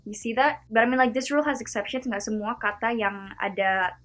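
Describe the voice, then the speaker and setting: Chatty woman vlogger teaching, soft music. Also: on the high side. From Indonesia. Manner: chatty, slows down to demonstrate words one by one. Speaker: video host teaching pronunciation. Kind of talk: casual vlog-style lesson.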